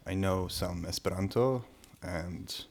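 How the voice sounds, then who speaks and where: A man whose first language is Hungarian, talking casually on a podcast with many pauses and restarts. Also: low-pitched. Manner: hesitant, many restarts and fillers. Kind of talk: casual conversation.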